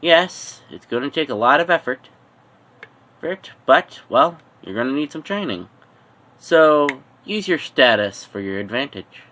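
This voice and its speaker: A man's voice: mid-range voice, lively intonation, a little slow, pushed and bright, slight background noise, pitched above the performer's own voice. A man voicing a scheming supervillain in an amateur fan story, muttering and deliberating in an offhand way. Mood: advising. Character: scheming adult male supervillain, gruff and musing.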